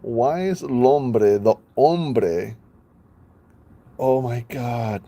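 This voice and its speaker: A man's voice: medium pitch, lively intonation, drawn-out words, dark tone. A man chatting casually on a live stream, playful, laughing often and stumbling over names.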